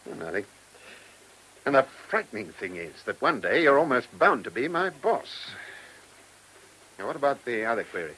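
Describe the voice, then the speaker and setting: Wry British police inspector, lively intonation. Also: male voice, mid-range voice, normal speed, long pauses, slightly rough, exaggerated voice, poor audio. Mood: musing, wry. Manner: wry, clipped, questioning. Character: dry, shrewd male police chief inspector, teasing superior. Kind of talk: mid-century British radio drama acting. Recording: old radio recording with faint hiss.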